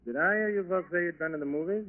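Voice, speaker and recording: An actor playing a polite, cool-headed armed burglar in 1940s radio style, speaking courteously and unhurriedly. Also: male voice, sits high, close to an everyday voice. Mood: calm, chatty. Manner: courteous, unhurried, chatty while menacing. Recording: muffled 1940s radio broadcast recording.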